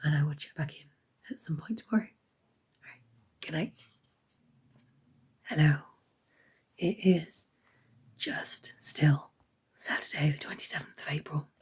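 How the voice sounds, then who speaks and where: A woman giving a casual crafting update, hoarse and half-whispering as her voice fails. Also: sits low, unhurried, pauses often. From United Kingdom. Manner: half-whispered, croaky delivery. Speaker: crochet vlogger.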